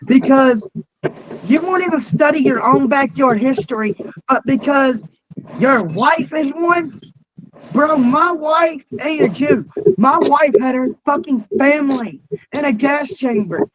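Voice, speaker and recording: High-voiced, foul-mouthed young man. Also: a bit bright, a little hiss or hum, low-quality recording. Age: 20s. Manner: profane and blunt, quick to rant. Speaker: podcast co-host. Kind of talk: casual interview chat.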